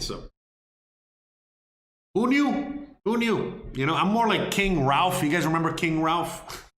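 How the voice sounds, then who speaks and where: A man's voice: a little high, fairly bright tone. Blunt, swearing man in a roomy echo. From Miami, United States. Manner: blunt, profane, rambling with many fillers. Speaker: livestream host answering viewer questions. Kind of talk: casual live Q&A chat.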